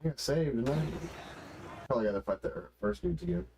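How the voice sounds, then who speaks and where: A man's voice: on the high side, very animated, fairly quick, darkish tone. Stuffy-nosed man over game gunfire. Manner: rambling, muttering to himself, profane. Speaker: video game streamer. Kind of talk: casual live gameplay commentary. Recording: gunfire and game noise behind voice. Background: video game gunfire and ambience.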